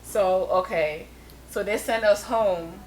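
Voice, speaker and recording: A woman who is the guest in an interview, chatting casually about her life in music, laughing often and sending shout-outs to friends. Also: mid-range voice, takes it slowly, slight background noise, poor audio. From Europe.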